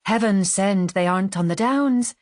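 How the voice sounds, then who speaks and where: A woman's voice: mid-range voice, normal speed, dry recording, clean studio sound, natural voice. A woman voicing an easygoing, chatty nurse on holiday in an audiobook, talking in light, idle chatter. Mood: lightly wry.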